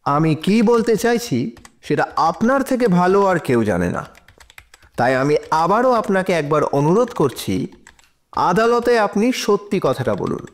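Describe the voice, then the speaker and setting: A persuasive defence lawyer in an audio drama. His voice sits high for a man and moves widely in pitch. He questions in a formal, deliberate and emphatic way. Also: sing-song. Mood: firm, urging. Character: persistent, persuasive male defence lawyer in court. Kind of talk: courtroom audio drama dialogue.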